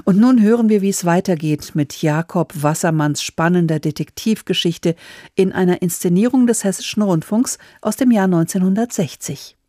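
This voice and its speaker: A woman's voice: lowish voice, normal speed, warm tone, no echo, studio quality. A woman reading a scripted introduction as a radio presenter.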